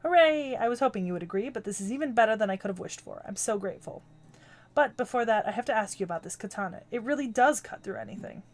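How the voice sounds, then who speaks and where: A woman's voice: on the high side, close to an everyday voice. A woman voicing a cheerful, eager young swordswoman in a bubbly, chatty way, reading a game's story aloud on stream. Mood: excited.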